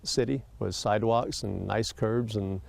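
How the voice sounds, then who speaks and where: A middle-aged man giving an unscripted interview answer in a plain-spoken, halting way. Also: on the low side, fairly even intonation, creaky, darkish tone. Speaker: interviewed resident, retired police officer.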